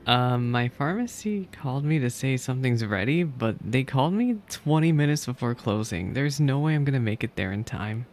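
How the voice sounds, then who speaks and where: Playful streamer with very lively intonation. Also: mid-range voice, normal speed, hardly any pauses, slight background noise. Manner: playful, chatty, talks back to game characters. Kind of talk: casual gameplay commentary. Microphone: close streaming microphone. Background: faint game music.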